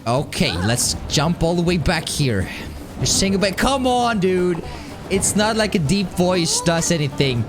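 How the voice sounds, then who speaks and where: A man with a light, high voice chats animatedly through a live game stream. His pitch jumps up and down as he rambles and laughs, and music plays behind him. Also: very animated, measured pace. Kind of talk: casual live-stream chat while playing. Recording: music playing underneath.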